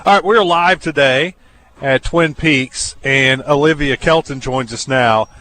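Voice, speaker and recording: A man's voice: medium pitch, a little slow, bright, forceful tone. A man hosting live sports radio on location at a restaurant bar, friendly and chatty. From Texas, raised in Arkansas. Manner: friendly, chatty, laughs easily.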